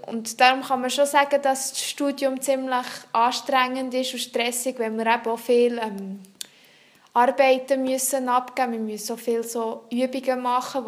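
Young female student, high voice, French; earnest and explanatory, searching for words in an interview. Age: young adult. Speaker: psychology student. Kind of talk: answering interview questions.

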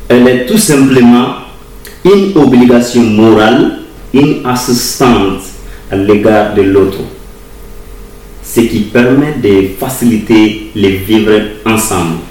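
A man answering interview questions in a formal, deliberate way, repeating words as he explains. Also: fairly low pitch, darkish tone. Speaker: interviewee, university teacher of German and English. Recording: roomy distant recording with faint ambient noise.

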